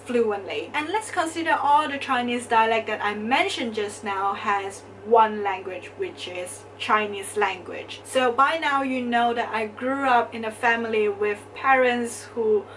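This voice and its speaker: A woman's voice: fairly high pitch, unhurried, hardly any pauses, fairly bright tone, far from the mic, a little hiss or hum, poor audio. A young woman from Malaysia with a Malaysian English accent, chatting to camera in a casual student vlog and explaining things step by step. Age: young adult. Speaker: student vlogger.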